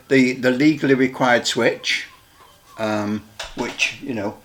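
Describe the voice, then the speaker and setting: Mature male hobbyist presenter, medium pitch, casual and rambling, stop-start delivery with repeated words. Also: fairly bright tone. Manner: halting, rambling, repeats himself. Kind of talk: casual hands-on equipment demonstration.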